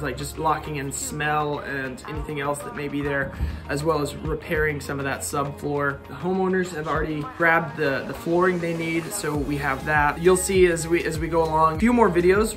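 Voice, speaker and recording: American man explaining casually over loud music. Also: a little high. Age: 30s. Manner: informal, explanatory. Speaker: home renovation contractor and vlog host. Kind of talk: casual walkthrough vlog talk. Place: handheld walkthrough in house, garage and covered patio. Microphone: phone or camera at arm's length.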